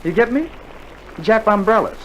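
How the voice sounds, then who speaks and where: Wry Australian soldier, swooping delivery. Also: male voice, sits high, animated, moderate pace, a bit bright. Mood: exasperated, sarcastic. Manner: sarcastic, exasperated banter. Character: wry, teasing Australian army soldier, fond of musical comedy. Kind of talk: 1960s radio drama acting. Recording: vintage vinyl radio play, noisy background.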